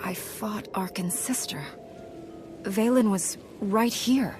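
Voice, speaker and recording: An actress playing a confident, skeptical Jedi heroine in a video game, direct and questioning. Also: female voice, mid-range voice, takes it slowly, over loud music, close to an everyday voice. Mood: skeptical, resolute. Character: confident, skeptical young female Jedi hero. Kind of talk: dramatic video game voice acting.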